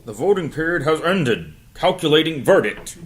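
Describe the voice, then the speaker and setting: A man's voice: on the high side, big pitch swings, moderate pace. A man playing the official voice of an electronic voting device in an audio drama, making formal, declamatory civic announcements.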